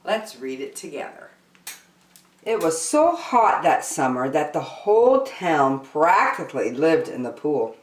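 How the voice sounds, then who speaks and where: A woman reading a children's picture book aloud as host, deliberately phrase by phrase, in an echoey room. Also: low voice, big pitch swings. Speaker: storytime host and reader. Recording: roomy echo.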